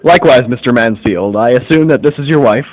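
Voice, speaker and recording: A man playing a brisk, formal, self-assured private detective in a radio mystery. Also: medium pitch, natural voice, poor audio. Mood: polite, brisk. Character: brisk, observant American gentleman private detective. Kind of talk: radio mystery play acting.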